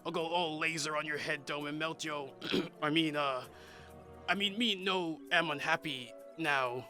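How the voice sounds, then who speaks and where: Man playing a bright, forceful dim villain clone. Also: fairly high pitch, fairly even intonation, measured pace, faint music behind. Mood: flustered, threatening. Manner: broken, backwards caveman grammar. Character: dim, irritable backwards-talking super-powered villain clone. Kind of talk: comedic radio play acting.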